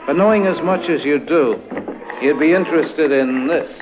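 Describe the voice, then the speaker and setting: A man's voice: on the high side, moderate pace, background noise, close to an everyday voice. Man as a cold, muffled radio villain officer. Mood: coldly smug. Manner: clipped, menacing, formal. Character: cold, controlled male villain lieutenant. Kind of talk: old-time radio drama acting. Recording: muffled vintage radio recording.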